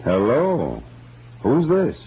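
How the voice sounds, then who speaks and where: An American man playing a wry, world-weary private eye in a 1940s radio detective drama, with deadpan, clipped delivery. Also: mid-range voice, very slow, slight background noise, exaggerated voice. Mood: flirtatious, wry. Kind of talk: 1940s radio detective drama, first-person narration and dialogue. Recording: muffled old radio recording, music behind.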